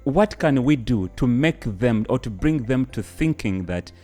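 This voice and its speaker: A man's voice: medium pitch, expressive, unhurried, warm tone, soft background music. A man hosting a podcast, asking curious questions and prompting his guest with frequent murmurs. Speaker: podcast host. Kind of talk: interview questions.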